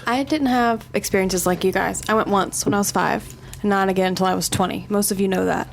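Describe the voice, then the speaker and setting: A woman's voice: mid-range voice, steady tone, a little fast, creaky. Woman chatting over faint background noise. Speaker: podcast panelist. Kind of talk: casual chat with anecdotes.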